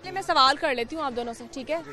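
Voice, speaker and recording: A young woman hosting a TV street quiz in a zoo park, talking into a handheld mic with a lively, coaxing manner. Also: sits high, fast talker. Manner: lively, laughs often, coaxing. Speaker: TV street-interview host. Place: outdoors in a city zoo park. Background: light music and crowd chatter.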